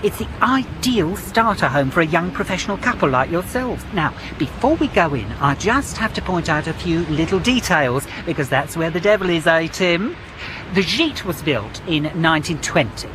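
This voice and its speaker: An actor playing a smooth-talking, pushy male estate agent with breezy sales patter in a radio drama. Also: a little high, animated, moderate pace, noisy background, close to an everyday voice. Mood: salesy.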